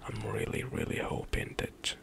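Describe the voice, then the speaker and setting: A young man whispering softly in a slow ASMR ramble over gameplay, close to the mic, at home. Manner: soft whispered rambling with light laughs. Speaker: ASMR gaming streamer. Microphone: close studio mic, headset on.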